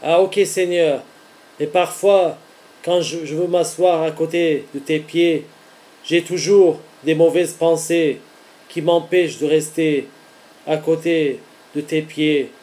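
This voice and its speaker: A male preacher with a fairly high, mellow voice gives devotional teaching. He goes very slowly, phrase by phrase, in an earnest and deliberate manner. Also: fairly even intonation. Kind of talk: devotional teaching with scripture reading.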